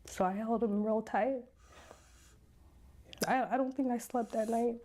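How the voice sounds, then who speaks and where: Soft dark-toned woman giving testimony. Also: a little high, fairly even intonation, a little slow, slight background noise. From South Sudan. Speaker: interview guest telling her life story. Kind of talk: personal testimony.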